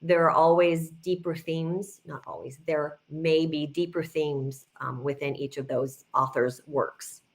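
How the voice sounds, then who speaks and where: A woman's voice: low voice, fairly even intonation, a little slow, few pauses. A middle-aged woman speaking informally as a guest teacher, explaining things in an encouraging way with emphatic repetition. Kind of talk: informal educational talk, answering questions.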